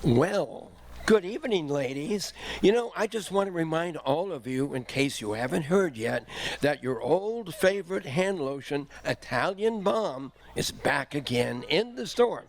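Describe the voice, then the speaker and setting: A man plays a genial pitchman from a 1940s radio commercial. His voice is on the high side, with folksy, emphatic salesmanship. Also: lively intonation, measured pace. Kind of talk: old-time radio advertisement.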